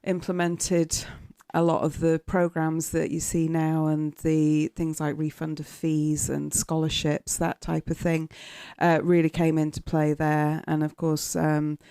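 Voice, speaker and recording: Talkative British woman, smooth and low. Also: monotone, measured pace, dry recording, clean studio sound. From United Kingdom. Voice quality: loud voice. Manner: chatty, warm storytelling with frequent fillers and laughs. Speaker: guest. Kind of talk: casual podcast interview.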